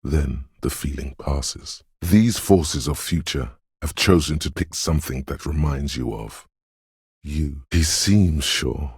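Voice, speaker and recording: A man voicing an eerie, brooding inner voice of intuition and dread in a game, narrating in the second person with a deliberate, portentous, theatrical delivery. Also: extremely low pitch, a little mellow, breathy edge, no echo, studio quality, heavily acted.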